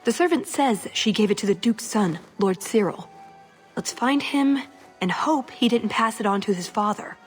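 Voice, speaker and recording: A woman's voice: medium pitch, animated, normal speed, faint music behind, lightly acted. An actress playing a young elf thief in a video game, wry, sarcastic and restless in her delivery. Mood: wry, exasperated.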